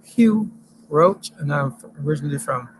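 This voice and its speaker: A middle-aged man from Barbados with a Barbadian accent, interviewed as a guest on an outdoor deck, speaking slowly with many hesitations and fillers. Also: fairly high pitch, expressive, a little hiss or hum, rough recording. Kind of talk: relaxed interview storytelling. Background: passing plane and outdoor ambience.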